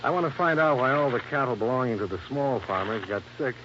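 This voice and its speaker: A man's voice: medium pitch, expressive, quick pace, highs cut off, close to an everyday voice. A man playing a tough, wry American insurance investigator in a 1950s radio detective drama, dry and confident. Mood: dry, resolute. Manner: dry, confident, matter-of-fact. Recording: noisy, band-limited old radio recording.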